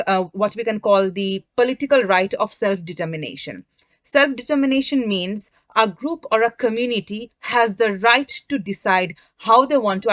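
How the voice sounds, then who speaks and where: A woman's voice: medium pitch, a bit bright, no room sound. A woman speaking in a documentary interview, explaining human rights in a formal, academic way.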